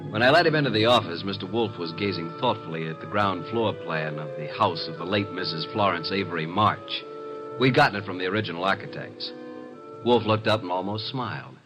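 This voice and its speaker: Actor as a low-voiced, sardonic gumshoe sidekick. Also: male voice, fairly quick, over music, close to an everyday voice, rough recording. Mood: sardonic narration. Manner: wry, sardonic, clipped. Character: wisecracking, exasperated male private detective's assistant, streetwise and sardonic. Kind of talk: old-time radio drama acting and first-person narration.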